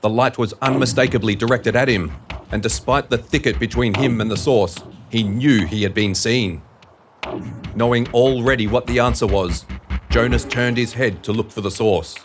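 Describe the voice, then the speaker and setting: A man's voice: medium pitch, normal speed, over music. A man reading scripted narration for an audio drama.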